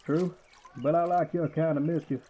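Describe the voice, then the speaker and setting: A man's voice: a little high, fairly even intonation, normal speed, mellow, close to an everyday voice. A man playing folksy old well keeper, very muffled tone. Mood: warm, conspiring. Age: older. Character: folksy, kindly old waterworks caretaker by a town well. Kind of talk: radio-play acting.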